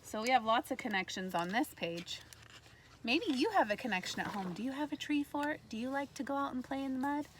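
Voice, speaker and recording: A woman teacher reading a storybook aloud to kindergartners in an animated way, pausing to put questions to her listeners. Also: high voice. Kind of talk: read-aloud lesson for young children. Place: outdoors in a backyard tree fort among trees. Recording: faint outdoor ambient noise.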